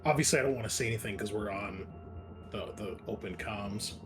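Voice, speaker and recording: A man playing a tabletop game, chatting casually and thinking his moves out loud. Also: fairly low pitch, a little fast, music in the background.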